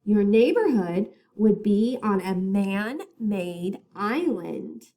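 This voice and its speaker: A woman reading a picture book aloud as a teacher to children, in a deliberate, expressive storytelling manner. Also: a little high.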